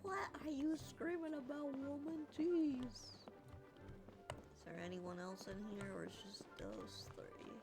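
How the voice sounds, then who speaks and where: A woman's voice: sits high, a little slow. A woman streaming a game with casual, playful, exclamatory asides. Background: game music.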